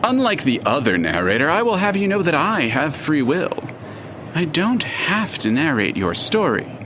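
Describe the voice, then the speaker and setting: An actor in an audio drama playing a haughty, fussy, all-seeing narrator spirit with precise, florid and slightly hesitant delivery. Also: male voice, a little high, huge pitch swings, normal speed, a bit bright, a little hiss or hum. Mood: haughty, prickly. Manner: pompous, precise, faintly hesitant, florid wording. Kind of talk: full-cast audio drama acting.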